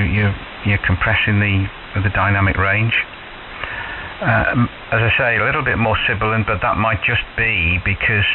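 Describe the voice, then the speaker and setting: Low-voiced man on a tinny, hissy shortwave radio. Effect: shortwave radio transmission. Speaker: amateur radio operator. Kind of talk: casual technical chat over ham radio. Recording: narrow tinny shortwave radio signal, clear hiss. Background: radio static hiss.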